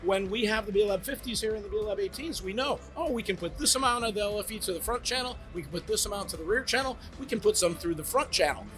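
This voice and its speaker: A man giving an eager sales pitch as a brand representative, demonstrating home theater gear in a trade show demo room. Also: quick pace, few pauses, fairly bright tone, faint music behind. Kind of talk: product demo talk.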